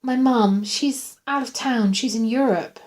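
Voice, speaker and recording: Woman playing a frightened, high-voiced teenage girl. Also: a little slow, darkish tone, close to an everyday voice. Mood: weak, anxious. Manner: pleading, weak, breathless. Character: frightened, vulnerable teenage girl hospital patient. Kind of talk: suspense audiobook character.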